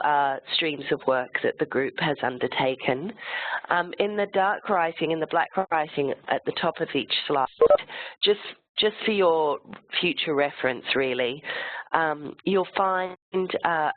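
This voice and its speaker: A webinar presenter with a cold and a croaky voice, explaining the material step by step, slide by slide. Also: medium pitch, dry recording. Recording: tinny phone-like line, dry sound.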